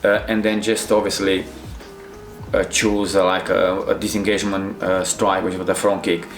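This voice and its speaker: A middle-aged man from Romania with a Romanian accent, a Krav Maga instructor reacting to fight scenes in halting, restarting English. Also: deep voice, little pitch movement, creaky, echoey room, faint music behind. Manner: halting non-native phrasing with many restarts.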